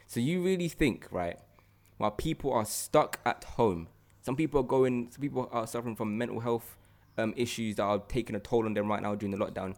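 A British man with a London accent hosting a casual podcast, talking in an animated, slangy way and repeating himself for emphasis. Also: medium pitch, fairly quick. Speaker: podcast host.

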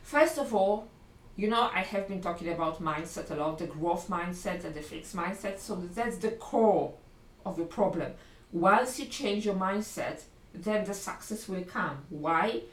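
A woman with a Polish accent, a language teacher giving a lively, encouraging video lesson and often correcting herself mid-sentence. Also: on the low side, measured pace. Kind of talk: video lesson talking to learners. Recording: roomy sound from a distant mic.

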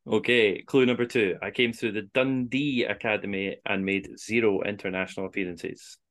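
A Scottish man with a fairly low voice hosts a podcast quiz. He reads out clues and scores in an informal way. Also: steady tone, normal speed, a bit bright. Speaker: quiz host on a football podcast.

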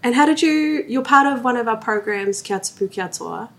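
A woman hosting a podcast in a friendly, relaxed way. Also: on the high side, normal speed. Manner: friendly, relaxed, mixes in greetings. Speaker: podcast host.

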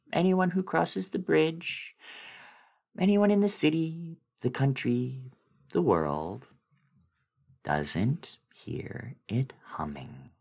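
A man giving a dramatic reading of a horror story in a deliberate, brooding way with dramatic swells. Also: a little high, gravelly, darkish tone. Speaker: dramatic reader.

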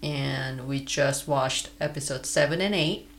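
A co-host with a gravelly, mid-pitched voice who talks slowly. The delivery is relaxed and easygoing, in casual podcast chat. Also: slight background noise. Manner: easygoing, laughs often, unhurried.

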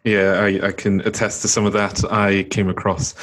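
A man's voice: fairly low pitch, level tone, moderate pace, a bit bright. A man from Nigeria hosting a podcast, interviewing guests in a conversational way.

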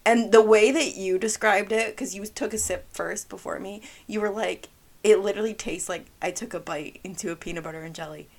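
A woman's voice: medium pitch, fairly even intonation, fairly quick, vocal fry. A bubbly woman co-host chats casually, her voice scratchy and worn thin. She giggles often and fills her talk with "like". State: scratchy, partly lost voice. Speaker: podcast co-host. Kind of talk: casual chat.

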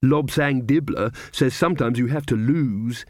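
A man's voice: medium pitch, unhurried, a little mellow, no room sound, studio quality, natural voice. A man reading an audiobook, voicing an earnest, touchy young female witch. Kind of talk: audiobook character voice.

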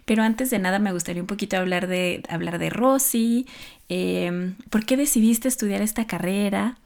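A woman's voice: mid-range voice, moderate pace, creaky, darkish tone. Woman hosting a podcast interview. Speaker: podcast host.

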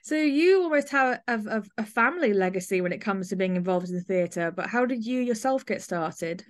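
A woman's voice: medium pitch, expressive, normal speed. A woman hosting a podcast, asking questions in a conversational interview style. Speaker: podcast host.

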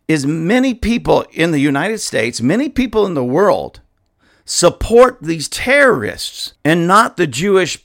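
A man, a pastor, giving impassioned podcast commentary with an indignant, emphatic, repetitive manner and a hoarse, dusty throat. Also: a little high, a bit bright.

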